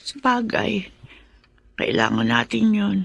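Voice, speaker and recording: A woman playing a weary, loving poor mother in a Filipino radio drama, gentle and apologetic in manner. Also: mid-range voice, big pitch swings, slow pace, gravelly, husky, heavily acted. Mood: weak, surprised.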